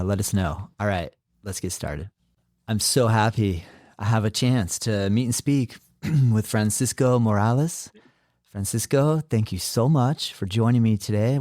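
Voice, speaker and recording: Guy hosting a podcast, kinda low voice, all excited, lots of uhs, very dry sound. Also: dry recording. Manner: enthusiastic, full of filler words. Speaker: podcast host. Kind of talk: podcast interview.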